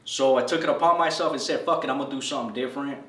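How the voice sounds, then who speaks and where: A man's voice: mid-range voice, very fast, sharp tone. A man in his thirties from El Salvador, telling his life story in a casual, slangy interview. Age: 30s. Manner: laid-back slangy storytelling, says "bro" a lot. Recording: echoey room from a distance.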